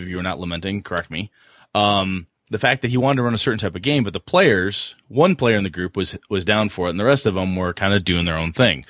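A man in his forties with a fairly low voice chats on a gaming podcast. He laughs often and restarts his sentences as he goes. The recording is dry and close, with no room sound. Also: a bit bright, dry recording. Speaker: podcast co-host. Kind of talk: casual podcast chat.

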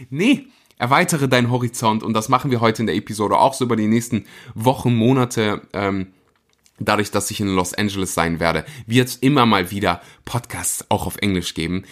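A man of middle pitch hosting his own podcast. He talks casually and with plenty of energy, pushing ahead and then slowing down to stress a point. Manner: energetic, enthusiastic, speeds up and slows for emphasis. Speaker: podcast host.

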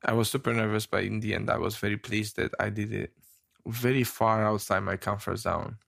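A man from Romania co-hosts a relaxed chat show. His voice is rough and gravelly, sitting low, and he speaks haltingly with plenty of fillers and small laughs. The sound is dry and close. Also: dry recording. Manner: halting, many fillers and restarts, laughs often. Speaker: podcast co-host. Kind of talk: casual chat.

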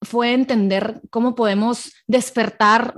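A woman hosting an interview, chatty and casual, full of quick fillers and restarts. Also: a little high, steady tone. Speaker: interview host.

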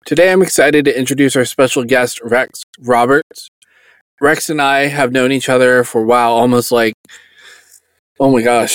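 A man's voice: mid-range voice, no room sound. Earnest young man hosting a podcast. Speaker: podcast host. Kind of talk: scripted podcast intro.

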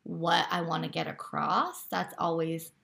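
Chatty bright-voiced woman with gravelly edge. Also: deep voice, steady tone, unhurried. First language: Romanian. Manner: chatty, uses "like" and "you know" a lot. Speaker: podcast co-host. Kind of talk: casual chat.

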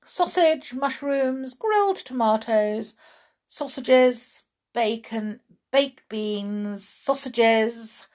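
Woman voicing a fussy old gentleman tramp, swooping pitch. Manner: fussy, courteous, stammering when flustered. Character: flustered, polite, greedy old gentleman tramp. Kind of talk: children's storybook character voice.

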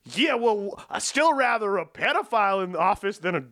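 A man's voice: high-pitched, big pitch swings, moderate pace, fairly bright tone, dry recording, clean studio sound. A man ranting in casual, profane talk on a podcast, rambling and swearing a lot. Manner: rambling, swears a lot, acts out quoted people.

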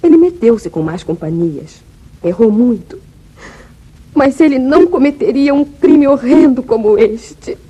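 A woman's voice: fairly high pitch, animated, unhurried, warm tone, slightly rough, low-quality recording. A woman playing a grieving, loyal ranch daughter telling her story solemnly in a 1950s Brazilian radio drama. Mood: insistent. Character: grieving, loyal young ranch woman recounting family tragedy.

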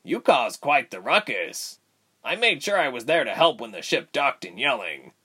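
A man voicing a devoted male prisoner in an audiobook story, quiet and earnest in manner. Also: mid-range voice, big pitch swings, normal speed, husky, voice pushed up, exaggerated voice. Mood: explaining. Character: devoted male captive, quiet, thoughtful, teasing.

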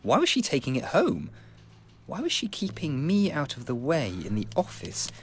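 An actor playing an earnest, polite young police constable in a radio comedy, speaking formally and precisely. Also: male voice, fairly high pitch, huge pitch swings, moderate pace, slightly rough, full character voice. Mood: anxious musing. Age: young adult. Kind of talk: radio comedy drama, first-person narration.

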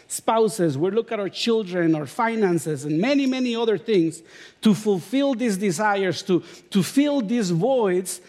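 Honduran guy preaching, spanish accent, pretty high voice, jokey relaxed stories. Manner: relaxed storytelling, self-deprecating humor. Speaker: guest preacher. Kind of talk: sermon with personal stories.

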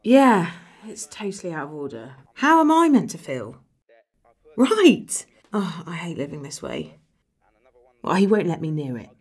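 Exasperated scolding woman, acted, swooping pitch. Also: wildly swooping pitch, a little slow, breathy edge, heavily acted. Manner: scolding, foul-mouthed, sarcastic. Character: exasperated, money-pressed, sharp-tongued nagging wife or girlfriend. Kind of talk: dark comedy audio drama acting.

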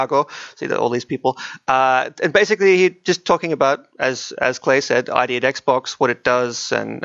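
A man's voice: mid-range voice, vocal fry, a bit bright. A man co-hosting a gaming podcast, chatting casually in a rambling, conversational way. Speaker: podcast co-host. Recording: dull, roomy sound.

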